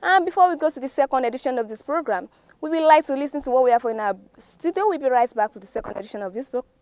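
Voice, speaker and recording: Woman, Nigerian accent, high pitch, scripted news reading, formal, dry sound. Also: animated, very fast, a little mellow, dry recording. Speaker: newspaper review presenter. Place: studio.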